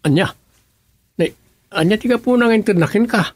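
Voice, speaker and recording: Man acting brusque, wary villager, slightly high. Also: normal speed, no echo. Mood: wary, irritated. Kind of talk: rural comedy radio drama acting.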